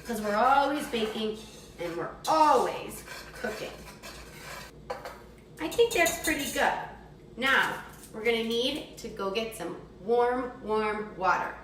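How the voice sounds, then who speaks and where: A woman with a fairly high voice leads a cooking lesson for children at a very unhurried pace. Her wording is simple and encouraging, explained step by step. She sounds some way from the microphone in an echoey room. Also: lively intonation, fairly bright tone. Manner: simple, encouraging, instructional talk aimed at children. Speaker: teacher demonstrating a recipe for children. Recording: distant mic, echoey room, faint noise.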